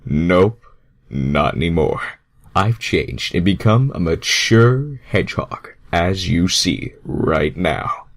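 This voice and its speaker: A man voicing a smug, laid-back cartoon hedgehog who tries to sound mature, speaking slowly and mock-seriously. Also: low-pitched, big pitch swings, voice pushed down, full character voice. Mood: earnest, composed. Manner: slow, deliberate, mock-serious.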